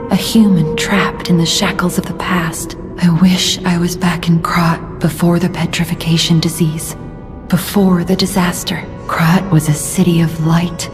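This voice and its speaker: An actress playing a gentle, melancholy woman in a video game, speaking softly and gravely. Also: lowish voice, lightly acted. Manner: soft, wistful, grave. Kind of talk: dramatic game dialogue.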